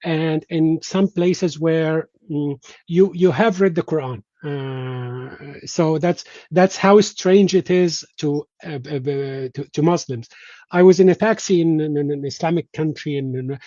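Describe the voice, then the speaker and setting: A man's voice: a little high, unhurried, mellow, dry recording, highs cut off. A man whose first language is Egyptian Arabic, speaking as an interview guest, hesitant, with many fillers and restarts. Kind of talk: conversational interview.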